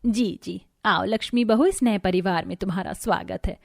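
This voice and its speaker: A woman's voice: mid-range voice, moderate pace, vocal fry, no room sound, clean studio sound. A woman voicing a middle-aged mother-in-law in a cartoon family drama, emphatic and matronly. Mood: welcoming. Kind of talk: Hindi cartoon story voice acting.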